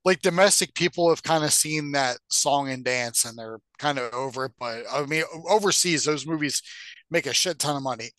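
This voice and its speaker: Male co-host with a fairly high voice, chatting informally and swearing freely, recorded dry. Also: normal speed, fairly bright tone, dry recording. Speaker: podcast co-host. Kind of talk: casual chat about movies and video games.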